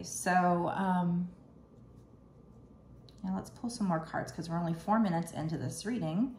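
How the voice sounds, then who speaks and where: A woman's voice: lowish voice, vocal fry. Woman, tarot reader, rambling, slightly froggy, roomy echo, noisy background. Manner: rambling, reassuring, many fillers. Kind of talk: casual tarot reading.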